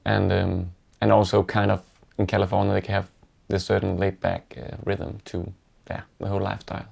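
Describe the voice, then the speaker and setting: A Danish-accented man speaks in a low, gravelly voice with a heavy fry on his words. His delivery is level and unvaried, halting and reflective, like someone recalling stories in a relaxed interview. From Denmark. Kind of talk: casual reflective storytelling.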